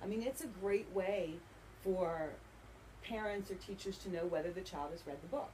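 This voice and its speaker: A woman's voice: lowish voice, normal speed, darkish tone, low-quality recording. A woman answering interview questions conversationally, as an author, in an earnest, explanatory way. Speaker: author and bookseller being interviewed. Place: inside a bookstore. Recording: faint background noise.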